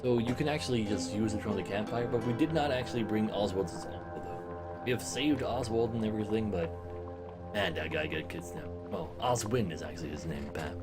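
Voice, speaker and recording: A man's voice: fairly low pitch, brisk, loud music behind the voice. A man livestreaming a game, switching between chatty commentary to his viewers and reading story text aloud as a narrator. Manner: chatty, talking to live viewers.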